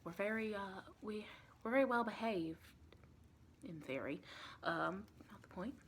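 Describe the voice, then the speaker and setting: A woman playing a sweet, chatty vampire lady with a Southern US accent, soft-spoken and partly whispering in a rambling, reassuring ASMR roleplay. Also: mid-range voice, unhurried, slight background noise, lightly acted. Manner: folksy, rambling, reassuring, doting.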